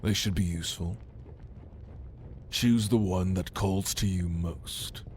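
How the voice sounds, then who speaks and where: A man's voice: low voice, a little mellow, a little hiss or hum. A man playing a proud, commanding demon lord in an ASMR roleplay, speaking in a theatrical, menacing manner to the listener. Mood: grim, instructive. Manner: slow, imperious, theatrical, menacing.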